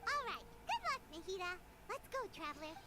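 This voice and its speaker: A woman's voice: extremely high pitch, very animated, fairly quick. A woman voicing a tiny, childlike nature goddess in a video game, curious, articulate and earnest with a playful streak. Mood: helpful.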